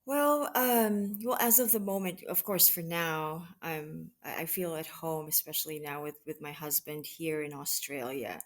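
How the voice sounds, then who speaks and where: A woman from the Philippines answering interview questions in an unhurried way, pausing often with fillers and restarts. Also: sits low, fairly even intonation. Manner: hesitant, many restarts and fillers. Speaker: guest, mission associate regional director. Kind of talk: conversational interview.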